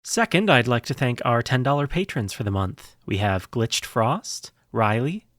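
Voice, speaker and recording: A man's voice: medium pitch, big pitch swings, normal speed, fairly bright tone, no echo, studio quality. A man, the show's creator, reading scripted credits and announcements in a friendly, informal way. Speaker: podcast creator.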